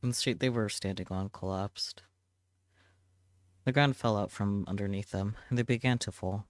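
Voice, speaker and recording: A man's voice: fairly low pitch, moderate pace, dry recording, clean studio sound. A man reading a visual novel aloud as dramatic narration. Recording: clear background noise.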